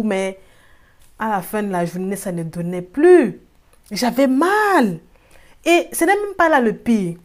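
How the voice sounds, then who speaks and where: Animated woman searching for words. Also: a little high. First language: not French. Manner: searches for words often, lively exclamations. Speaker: host. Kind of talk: casual personal vlog narration.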